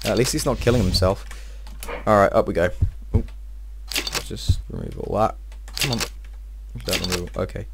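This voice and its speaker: A young man giving casual, excitable let's-play commentary, swearing and talking to himself, his voice a little strained. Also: low-pitched, moderate pace, vocal fry, heavy background noise. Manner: excitable, swears often, talks to himself while playing. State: slightly strained voice. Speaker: gameplay video host.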